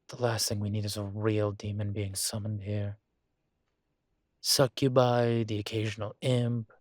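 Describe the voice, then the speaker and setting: A man playing a vain, seductive yet politely awkward incubus demon professor in an intimate audio roleplay, speaking hesitantly with drawn-out phrasing. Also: lowish voice, no room sound, clean studio sound. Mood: wry, concerned. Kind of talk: intimate audio roleplay, one-sided conversation.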